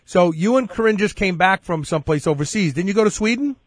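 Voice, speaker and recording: A man with a clearly high-pitched voice hosts a casual interview. He is informal and enthusiastic, and his voice is recorded dry. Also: lively intonation, fast talker, no room sound. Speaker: interview host. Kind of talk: casual chat and questioning.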